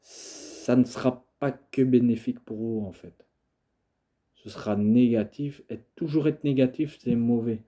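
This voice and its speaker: A man's voice: medium pitch, fairly even intonation, measured pace, dark tone. A young man with a cold, talking casually to camera in a hesitant way, full of fillers and restarts. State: has a cold, coughing. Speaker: video creator.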